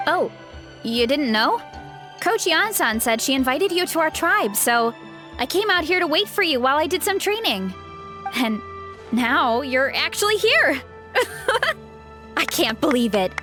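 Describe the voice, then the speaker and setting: A woman's voice: high-pitched, very animated, measured pace, pauses often, sharp tone, soft background music, full character voice. An actress playing a cheerful, modest young woman warrior in an anime-style video game, with an earnest, bubbly delivery. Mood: excited, starstruck. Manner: earnest, bubbly, self-effacing.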